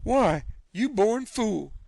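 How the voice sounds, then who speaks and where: A man voicing an indignant, sharp-tongued middle-aged farm woman who scolds in a shrill, drawn-out way. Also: expressive, vocal fry, dry recording. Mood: scolding.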